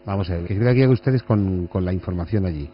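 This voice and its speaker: A man with a Castilian Spanish accent improvising a prank phone call as a radio caller, talking in deliberately muddled, garbled double-talk. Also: deep voice, slight background noise.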